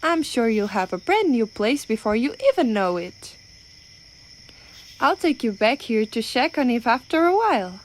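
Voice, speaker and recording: A woman playing a clumsy, well-meaning giantess in an ASMR roleplay, rambling and hesitant but gently reassuring. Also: a little high, very animated, moderate pace, a little hiss or hum. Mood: chatty, eager.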